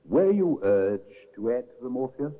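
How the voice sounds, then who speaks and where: An actor playing a prosecuting barrister who questions witnesses formally in a 1950s radio drama. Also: male voice, mid-range voice, big pitch swings, measured pace. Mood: formal questioning. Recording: tinny old radio broadcast with reverb.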